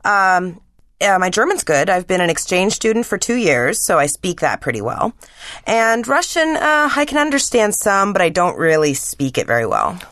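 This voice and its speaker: Woman playing young female student, polite, hesitant, animated pitch, scripted language-exam dialogue, dry recording. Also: moderate pace, no room sound. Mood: polite, thoughtful.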